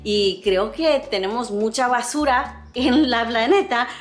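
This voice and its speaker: A woman's voice: a little high, a little slow, faint music behind. Earnest woman with an American accent. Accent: American, with mixed Latin American Spanish. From Latin America. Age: 50s. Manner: earnest, thoughtful, speaks with feeling. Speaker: vlogger, host of a frugal living channel. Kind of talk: reflective personal vlog.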